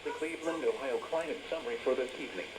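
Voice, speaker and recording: Staticky weather radio, robotic american guy reading forecasts, measured, mid pitch. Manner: flat, measured synthetic delivery. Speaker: automated weather radio announcer. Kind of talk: scripted weather bulletin reading. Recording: noisy weather radio broadcast, strong static.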